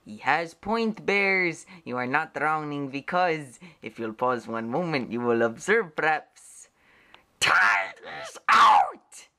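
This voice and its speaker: A woman voicing a fussy, excitable male goose in a cartoon fan dub, theatrical and sarcastic. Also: low-pitched, slow pace, full character voice.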